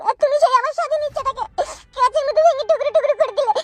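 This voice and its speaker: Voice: extremely high pitch, very fast, fairly bright tone. A performer voicing a tearful, resentful young woman forced to be a bride. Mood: tearful, bitter. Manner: reproachful, dramatic. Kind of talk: melodramatic comic cartoon dubbing.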